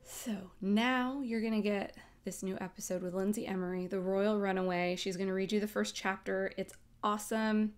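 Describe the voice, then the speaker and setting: A woman's voice: medium pitch, fairly even intonation, normal speed. An American woman hosting in a casual, playful way, rambling informally as she chats. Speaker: host. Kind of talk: casual, chatty vlog talk.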